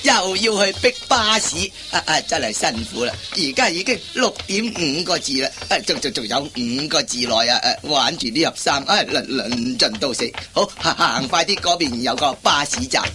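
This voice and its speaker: An actor playing a flustered, timid husband who stammers and repeats words in a radio farce, with a Hong Kong Cantonese accent. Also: male voice, a little high, animated, normal speed, hardly any pauses, music in the background, close to an everyday voice. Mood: flustered, whining. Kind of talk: Cantonese radio comedy acting.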